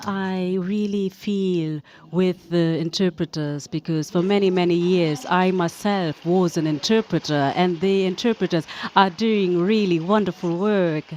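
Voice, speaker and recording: Woman, about forty, from Germany, conference panelist; lowish voice, slow and careful with a few hesitations. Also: steady tone, darkish tone, muffled recording, a little hiss or hum. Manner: slow and deliberate, with some hesitations. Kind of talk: conference speech. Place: conference hall.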